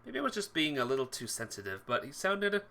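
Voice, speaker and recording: Bright, animated visual novel reader. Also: mid-range voice, fast talker. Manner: stumbles and rereads phrases. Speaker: video game let's player. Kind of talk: reading visual novel aloud, expressive narration.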